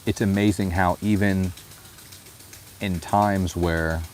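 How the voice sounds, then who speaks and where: A man talking in a personal vlog, thoughtful and unhurried, often adding "you know". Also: sits low, steady tone, slight background noise. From United States. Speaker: vlogger. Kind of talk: reflective personal vlog talk.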